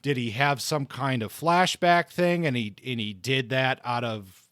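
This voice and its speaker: A man's voice: mid-range voice, unhurried, a bit bright, smooth, no room sound, studio quality. A man co-hosting a book podcast in casual discussion, thinking aloud and often rewording as he goes. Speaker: podcast co-host.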